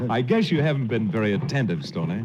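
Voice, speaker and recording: An actor playing a confident, wry frontier hero in a 1940s radio western, with a cool, teasing manner. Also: male voice, fairly low pitch, big pitch swings, fairly quick. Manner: cool, teasing, self-assured. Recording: muffled, noisy old radio recording.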